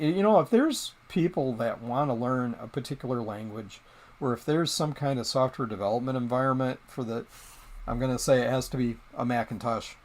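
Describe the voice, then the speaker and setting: A middle-aged man of middling pitch talks casually to a small live-stream audience. He wanders from thought to thought, hesitating often and restarting his sentences. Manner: rambling, hesitant, many ums and restarts.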